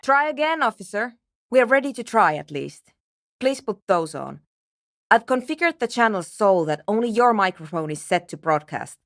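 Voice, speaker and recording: A woman's voice: medium pitch, sing-song, moderate pace, dry recording, studio quality. A woman playing an earnest, exacting programmer and radio technician in a video game, speaking in precise, formal, clipped technical phrases. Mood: insistent, then businesslike. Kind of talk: video game character dialogue.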